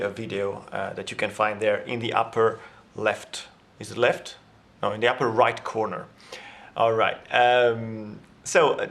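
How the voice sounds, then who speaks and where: A man's voice: mid-range voice, a bit bright. A man in a language vlog, explaining grammar in a casual, thinking-aloud way with many fillers and restarts; his first language is Italian. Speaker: language vlogger. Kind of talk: casual language lesson to camera.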